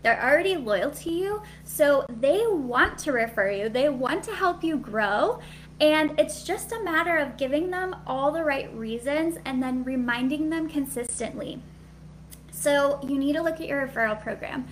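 A woman's voice: high-pitched, animated, moderate pace, a little hiss or hum, poor audio. A woman with a sweet voice giving a live coaching talk to hairstylists, candid and chatty with lots of "like" fillers.

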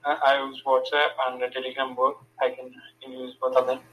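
A man's voice: medium pitch, completely flat delivery, brisk, a bit bright, rough recording. A man from India with an Indian accent, chatting casually and hesitantly on an online call, often repeating words. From Andhra Pradesh, India. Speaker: online caller. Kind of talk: casual chat.

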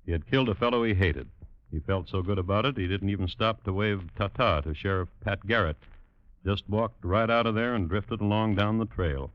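A man's voice: lowish voice, brisk, dry recording, lightly acted. A man with an American accent delivering wry, folksy scripted narration for an old-time radio western. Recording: muffled old radio recording.

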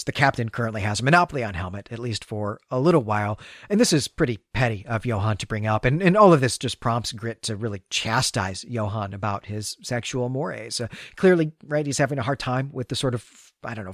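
A man's voice: medium pitch, no echo, clean studio sound. A man hosting a podcast, talking through a story recap in a conversational, analytical and explanatory way. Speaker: podcast host.

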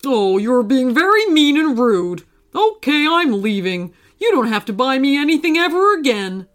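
A woman's voice: high-pitched, sing-song, a little slow, soft background music, pitched above the performer's own voice. A woman voicing a whiny, teasing, dramatic best friend in a children's story, complaining and exclaiming. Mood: whiny, indignant.

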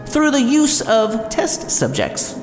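A man's voice: very high voice, huge pitch swings, exaggerated voice. Man acting as theatrical, fear-obsessed professor in echoing hall. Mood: smug, menacing. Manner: theatrical, lecturing, deliberate emphasis. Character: intense, arrogant psychology professor obsessed with fear. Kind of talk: audio drama acting. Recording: roomy hall reverb, music underneath.

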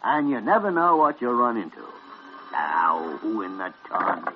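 An actor playing a crusty old senior doctor in 1940s radio drama, gruff, blunt and wry, with a raspy voice. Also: male voice, fairly low pitch, very animated, measured pace, noisy background. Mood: brisk. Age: older. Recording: muffled old radio recording.

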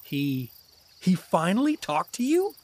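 An actor playing a good-natured, blundering adventurer in a fantasy audio drama, awkward, apologetic and expressive. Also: male voice, high-pitched, a little slow, exaggerated voice. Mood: sheepish.

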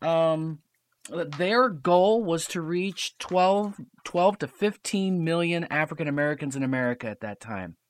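A man talking casually as a podcast guest, explaining history in a halting, stop-and-start way. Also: on the high side.

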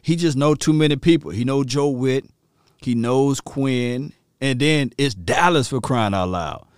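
A man from Mississippi with a Southern accent, hosting a casual sports talk show and reacting with lively, laughing asides to his listeners. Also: medium pitch, a little slow, clear, resonant tone, no room sound, clean studio sound. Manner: animated, laughing, talks straight to listeners. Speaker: podcast host.

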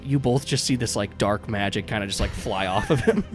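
A man's voice: on the low side, very animated, normal speed. A man acting as game master, narrating a tabletop game in an informal, improvised way with frequent chuckles. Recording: music playing behind the voice. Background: music.

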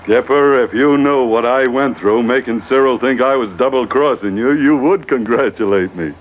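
A man's voice: mid-range voice, moderate pace, natural voice. An actor playing a brash, roguish ship's first mate with blunt tough-guy banter in a 1940s radio adventure. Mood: defensive, sly. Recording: tinny, faintly noisy old radio recording.

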